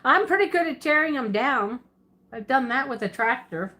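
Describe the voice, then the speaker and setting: A woman's voice: sits high, expressive, moderate pace, bright, forceful tone. A woman from Pennsylvania with a Pennsylvania Dutch accent and a Southern twist, rambling casually and laughing as she answers viewers on a livestream. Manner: chatty and rambling, laughs often, self-correcting. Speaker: livestream host.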